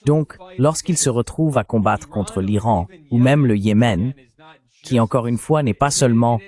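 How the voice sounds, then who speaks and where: A man's voice: medium pitch, normal speed, mellow, natural voice. A man voicing a French translation of a political analyst's explanatory interview commentary.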